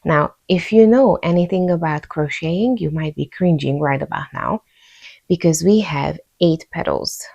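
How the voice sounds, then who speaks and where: A woman's voice: low-pitched, big pitch swings, normal speed, vocal fry, a little mellow, dull audio. A woman with a Hungarian accent narrating a step-by-step craft tutorial in an easygoing, informal way. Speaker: host of a crochet tutorial channel.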